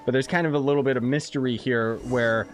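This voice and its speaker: A man's voice: mid-range voice, fairly even intonation, quick pace. A young man rambling casually about a game he is playing, laid-back and full of fillers. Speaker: gaming streamer. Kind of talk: casual commentary over gameplay.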